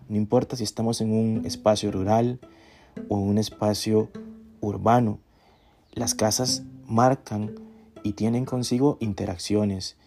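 A man giving a reflective academic lecture in a measured, deliberate way, breaking his phrases with pauses. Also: fairly low pitch, warm tone. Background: guitar music.

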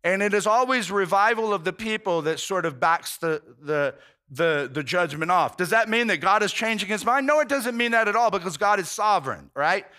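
A man's voice: high-pitched, animated, normal speed, sharp tone, clean tone. A male pastor preaching a conversational sermon, earnest and informal, often restarting phrases and saying "man".

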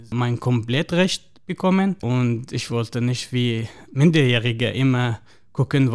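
A man of about thirty from Syria, a native Arabic speaker with a Syrian accent, speaking hesitantly with fillers as a panel guest. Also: mid-range voice. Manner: soft-spoken, hesitant, with fillers. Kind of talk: panel discussion.

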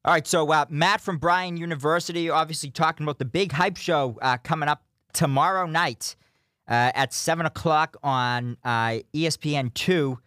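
A man's voice: on the high side, moderate pace, bright, forceful tone, smooth, no echo, clean studio sound. A young man hosting a call-in sports radio show, who talks hesitantly and fills it with "you know". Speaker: radio host.

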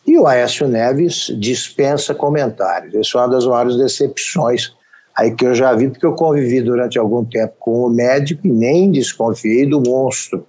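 A man's voice: mid-range voice, moderate pace, a little mellow, breathy edge. A man with a Brazilian accent hosting a political talk show, giving opinionated, wry commentary. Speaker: show host.

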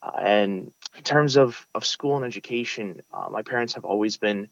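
A young man talks in a fairly low voice, earnest and a little halting as he restarts his sentences. The sound is dry and close, and slightly muffled. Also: gravelly, no room sound. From United States (Brooklyn). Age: young adult. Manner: earnest, frequent restarts and fillers. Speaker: interview guest, valedictorian. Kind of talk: casual interview.

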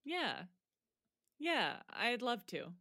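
A woman's voice: a little high, sing-song, very slow, bright, forceful tone, dry recording, studio quality, exaggerated voice. A woman playing a frank, wry teenage student in a naturalistic audio drama, understated and a little hesitant. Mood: warm, supportive.

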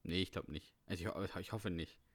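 A man's voice: deep voice, fast talker, fairly bright tone. A man co-hosting a podcast, talking casually and a little hesitantly, with frequent fillers. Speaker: podcast co-host.

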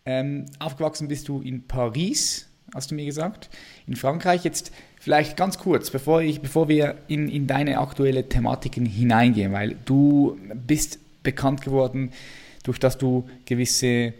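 A man's voice: medium pitch, moderate pace, darkish tone. Guy hosting a podcast, mid voice, casual and kinda hyped, chatty intro style. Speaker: podcast host.

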